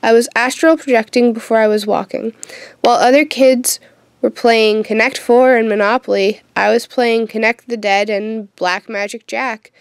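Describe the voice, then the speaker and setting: A young woman playing a sardonic, troubled teenage witch in an audio drama, with a wry, deadpan manner. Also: a little high, normal speed, smooth, no room sound, natural voice. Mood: wry, frustrated. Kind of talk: audio drama acting with first-person narration.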